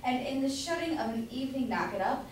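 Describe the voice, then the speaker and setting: A woman's voice: sits high, lively intonation, fast talker. A young woman playing a blustering, doting citizen husband in an amateur staged play reading. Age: young adult. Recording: echoey hall from far off, noisy.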